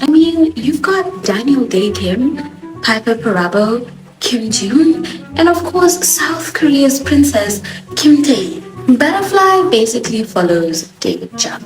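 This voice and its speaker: A young woman, a native Swahili speaker with a Johannesburg accent, giving a sassy, expressive TV show review as host. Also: sits high. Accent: South African (Johannesburg). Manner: expressive, sassy, animated emphasis. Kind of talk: casual opinionated review. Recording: echoey room with music behind.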